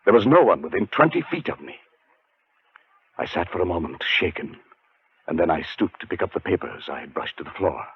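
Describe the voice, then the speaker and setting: An actor playing a haunted, nervous scholarly gentleman, narrating in a brooding, suspenseful 1940s radio drama style. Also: low-pitched, very animated, brisk, husky, full character voice. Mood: uneasy recollection. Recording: muffled 1940s radio recording with music.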